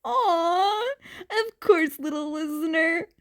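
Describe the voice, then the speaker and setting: A woman voicing a loud, exuberant, affectionate man in a podfic story, gushing as he exclaims. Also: very high-pitched, expressive, takes it slowly, pitched above the performer's own voice, heavily acted.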